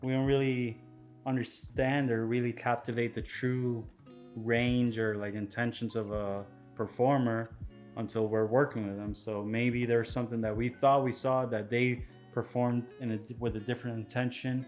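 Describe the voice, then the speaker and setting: Relaxed man giving podcast advice. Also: mid-range voice, moderate pace, faint music behind. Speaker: podcast host. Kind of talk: casual podcast chat.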